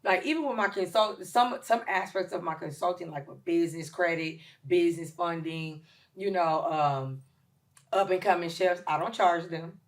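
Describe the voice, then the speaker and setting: A woman in her late forties, a chef and caterer talking as a guest in a casual podcast interview, emphatic and lively, with many ums. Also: lowish voice, normal speed. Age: 40s. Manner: lively, emphatic, with shout-outs and filler ums.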